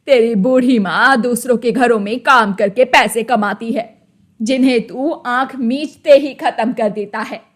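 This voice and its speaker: A woman's voice: a little high, unhurried. A woman voicing a scolding, anxious old village mother in a cartoon moral story, with an exasperated, lamenting, dramatic delivery.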